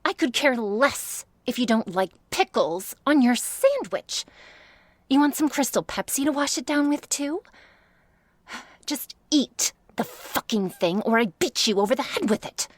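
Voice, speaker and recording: A woman playing a jealous, menacing vampire in an ASMR roleplay, sarcastic and taunting, often dropping into breathy hisses. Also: high voice, lively intonation, unhurried, dry recording. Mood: irritated.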